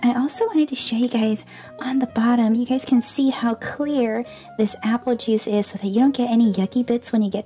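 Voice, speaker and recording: Bubbly high-voiced woman losing her voice. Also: warm tone. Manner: bubbly and gushing, repeats "super awesome", giggles often. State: under the weather, losing her voice. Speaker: toy unboxing host. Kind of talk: cheerful toy unboxing narration. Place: indoors at a tabletop toy display.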